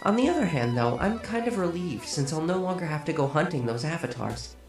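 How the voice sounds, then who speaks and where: A man playing a calm, polite young man who now appears as a glowing spirit, speaking smoothly with a light teasing edge. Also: fairly high pitch, brisk. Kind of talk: fan audiobook acting. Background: music.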